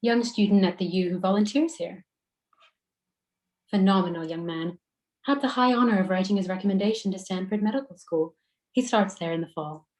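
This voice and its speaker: A woman acting a motherly medical professional with a mellow, mid-pitched voice. She is attentive and courteous, in the relaxed manner of a script read-through. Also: normal speed, natural voice, rough recording. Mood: courteous, admiring. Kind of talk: table-read acting of a screenplay.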